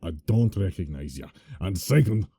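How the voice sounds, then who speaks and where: A man voicing a blunt, exasperated dwarf who speaks slowly and bluntly. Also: low voice, expressive, gravelly, warm tone, husky, heavily acted. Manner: blunt and exasperated, drawn-out delivery.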